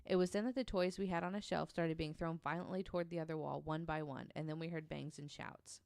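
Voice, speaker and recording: A woman's voice: deep voice, steady tone, quick pace, gravelly, a little mellow, no echo, studio quality. A woman telling a true story casually on a podcast, reading quotes aloud in an informal, hesitant way.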